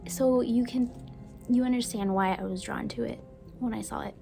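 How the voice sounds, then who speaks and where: A young woman from Brazil whose first language is Portuguese, chatting gently in a casual book review vlog and sometimes dropping to a soft whisper. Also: on the high side, fairly even intonation, moderate pace, gravelly. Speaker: book vlogger. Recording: music playing behind the voice.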